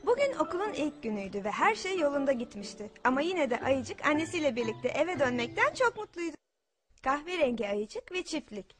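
A woman narrator reads a scripted children's story. Her voice is high and moves in a lively way, with music underneath. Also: brisk. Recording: music behind the voice.

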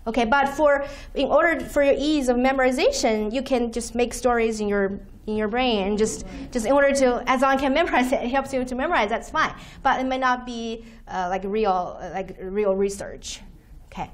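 A woman whose first language is Chinese, giving a university lecture from a podium and explaining terms slowly with many "okay"s. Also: on the high side. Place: lecture room, standing at podium by projector screen.

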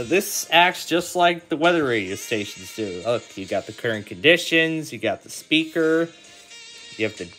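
A man's voice: on the low side, fairly bright tone. A man giving a casual hands-on tutorial as its host, thinking aloud as he works through the steps indoors. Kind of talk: casual hands-on app demonstration.

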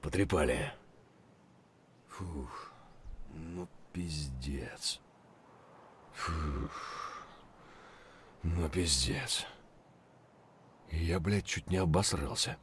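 An actor playing an aggressive, cursing Russian soldier in a military shooter game, with a rough, husky voice. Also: male voice, extremely low pitch, drawn-out words, long pauses, fairly bright tone, a little hiss or hum, heavily acted. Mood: grim, rattled. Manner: barking, cursing. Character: aggressive, foul-mouthed Russian male soldier in combat.

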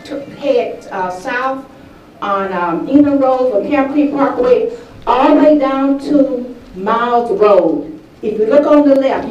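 A woman's voice: fairly high pitch, a little mellow, muffled recording, slight background noise. An older woman speaking at public comment in a council meeting, emphatic and exasperated. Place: large council chamber. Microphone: podium microphone heard from a distance. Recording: echoey chamber, mic at a distance.

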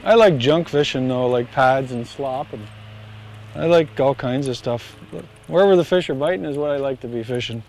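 A man's voice: medium pitch, normal speed, a little mellow. Laid-back thirties male angler, casual on-boat chat, clip mic outdoors, faint noise behind. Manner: easygoing, chuckles, talks to the fish. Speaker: tournament bass angler. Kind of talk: casual on-water commentary. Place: outdoors on a bass boat on a lake.

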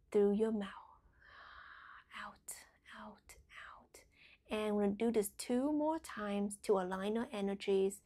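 A woman guides a meditation at a very unhurried pace. Her pitch sits in an average female range. She phrases deliberately and soothingly, with endings that thin into breathy whispers. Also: dark tone.

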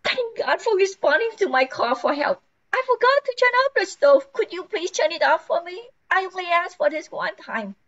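A slightly muffled, lo-fi recording of an older woman acting a frantic housewife in a radio play. Her voice is high and swings up and down. She is anxious, scatterbrained and pleading. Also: lively intonation, moderate pace, fairly bright tone, full character voice.

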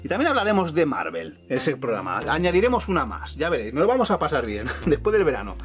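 A man's voice: fairly high pitch, huge pitch swings, quick pace, music in the background. A middle-aged man from Catalonia with a La Mancha accent, chatting enthusiastically to viewers as he walks through a room at home. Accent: La Mancha Spanish. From Catalonia, Spain. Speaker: YouTube host. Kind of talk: casual room tour vlog. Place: home room full of shelves, indoors.

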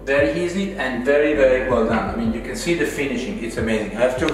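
A middle-aged man who is not a native English speaker, presenting a home walkthrough in a halting but enthusiastic way. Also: medium pitch. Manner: halting, filler-heavy, enthusiastic praise. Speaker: designer presenting a home renovation. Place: tiled and furnished rooms inside a villa. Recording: very echoey rooms, background music. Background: instrumental guitar music.

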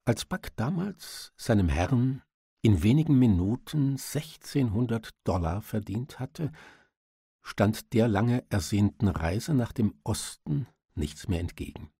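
A man's voice: fairly low pitch, mellow, slightly rough, no echo, clean studio sound. A man reading a literary audiobook as narrator, in a dramatic storytelling style.

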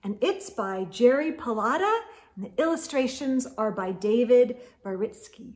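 A middle-aged woman hosting a read-aloud storytime for young children, with an animated, exaggerated delivery and hushed asides. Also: a little high, unhurried. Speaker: children's storytime host and reader. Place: indoor room lined with bookshelves.

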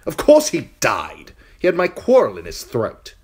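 A spoiled young king sneers and boasts in a raised, needling voice, put on by a male reader. The tone is lively and a little husky, swinging up and down as he gloats. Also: medium pitch, unhurried, pitched above the performer's own voice, heavily acted. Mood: gloating. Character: cruel petulant boy king.

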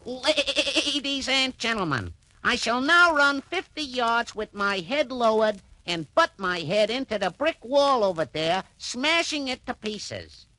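High, sharp, bleating billy goat. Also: male voice, very animated, measured pace, few pauses, no echo, heavily acted. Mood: proud. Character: earnest, meek male cartoon billy goat performer. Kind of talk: children's record cartoon acting.

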